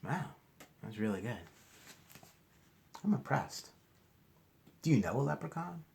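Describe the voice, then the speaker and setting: A man reading a storybook aloud to children. His pitch swings widely and has a gravelly creak. He is expressive and often turns to the young listeners with questions and chatty asides. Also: measured pace. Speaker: children's storybook reader, teacher.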